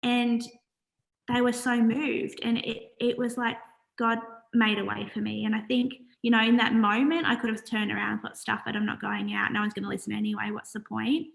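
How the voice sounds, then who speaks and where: Guest: earnest woman charity founder, quiet gravelly voice, fairly high. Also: little pitch movement. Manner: soft-spoken, earnest, halting with fillers. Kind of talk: casual interview talk.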